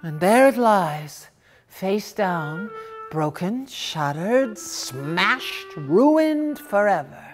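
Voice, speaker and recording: A woman reading a short story as theatrical narration, dramatic, with emphatic pauses. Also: medium pitch, big pitch swings, soft background music. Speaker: reader of short stories.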